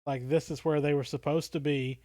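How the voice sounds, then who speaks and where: A man's voice: on the high side, fairly even intonation, a little fast, a little mellow, no room sound, studio quality. A man co-hosting a podcast, talking casually in an earnest and thoughtful manner. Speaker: podcast co-host.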